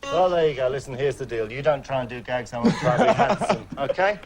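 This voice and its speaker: A man's voice: medium pitch, expressive, quick pace. A man hosting a TV game show in a showy, theatrical way, calling out contestants' names. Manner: hammy, theatrical, shouting names. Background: studio music.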